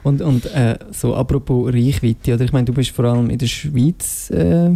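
Swiss German accent, mellow tone, casual interview questions, halting. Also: mid-range voice, steady tone, vocal fry. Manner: hesitant, many fillers and restarts.

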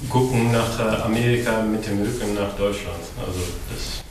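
A literary translator speaking haltingly, filling his pauses with ums, a man at a middle pitch. He sounds far from the mic, in a heavily echoing hall with noticeable noise. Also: fairly even intonation. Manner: halting, many fillers. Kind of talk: panel discussion. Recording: very echoey room from far off.

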